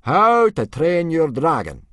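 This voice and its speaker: A man's voice: on the high side, huge pitch swings, slow, a bit bright, dry recording, studio quality, voice pushed up, full character voice. A man voicing a pompous, slightly dim Viking burglar who speaks with bellowing self-importance in a storybook. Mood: solemn.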